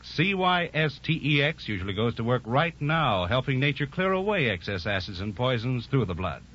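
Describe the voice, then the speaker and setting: A man's voice: medium pitch, fairly bright tone. A man delivering a scripted 1940s radio advertisement, earnest and carefully enunciated. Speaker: commercial announcer. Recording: muffled 1940s radio recording.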